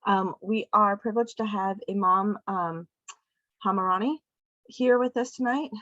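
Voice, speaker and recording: Woman host, friendly, halting, muffled dry audio. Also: medium pitch, bright, forceful tone, no room sound. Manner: friendly, hesitant with many ums. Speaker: event host. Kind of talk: casual introduction.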